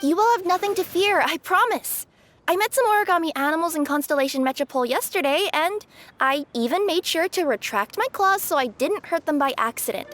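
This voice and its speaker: A woman's voice: very high-pitched, animated, brisk, fairly bright tone. A woman voicing a young cat-girl courier in an anime-style game, sweet, earnest and a little flustered. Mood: reassuring, flustered. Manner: earnest, friendly, slightly flustered.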